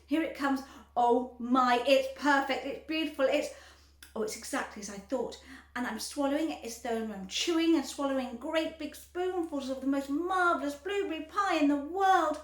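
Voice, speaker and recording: A woman's voice: high voice, moderate pace, pitched above the performer's own voice, close to an everyday voice. A woman voicing a boastful, spoiled girl who gushes smugly and snaps rudely, in a storybook reading. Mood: gushing. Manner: smug, gushing, rude. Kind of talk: storybook read-aloud. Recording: echoey room.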